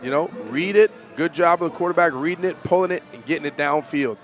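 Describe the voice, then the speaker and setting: A man with a stuffy, nasal voice gives coach-like analysis of a high school football game. His voice sits a bit high for a man, and faint music plays behind the booth. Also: quick pace. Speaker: color commentator.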